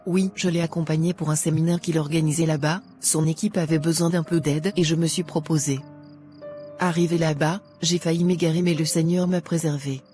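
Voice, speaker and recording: A woman's voice: deep voice, fairly even intonation, brisk, soft background music, natural voice. A woman playing contrite returning mother. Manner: soft, contrite. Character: repentant mother returning to her family.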